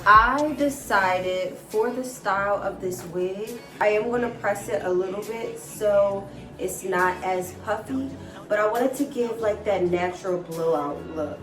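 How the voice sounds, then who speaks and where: A woman's voice: medium pitch, measured pace, a bit bright. Chatty, slightly stuffy-voiced woman vlogger. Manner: chatty and upbeat, often says "guys" and "like". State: slightly stuffy nose from a cold. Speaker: hair and wig vlogger. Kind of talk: casual tutorial chat to viewers. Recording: roomy, mic at a distance, music behind. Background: background music.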